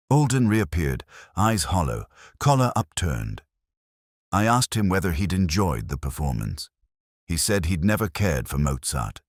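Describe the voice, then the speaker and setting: Brooding middle-aged detective narrator, played by a man, extremely low voice, lively intonation, measured and erudite, for an audio mystery drama. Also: dry recording, studio quality, exaggerated voice. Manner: measured, literary, reflective delivery. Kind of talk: scripted first-person mystery narration.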